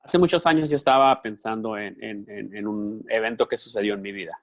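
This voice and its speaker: A man's voice: fairly low pitch, a little fast. A man working as a live interpreter for a conference speaker, talking conversationally with repeated words and restarts.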